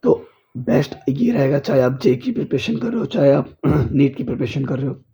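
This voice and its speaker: Hoarse man teaching chemistry. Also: mid-range voice, very animated, brisk, mellow. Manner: repeats checks like "clear?" and "okay?". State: hoarse from a cold. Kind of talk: online exam-prep lecture. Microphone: speaker's mic is faulty.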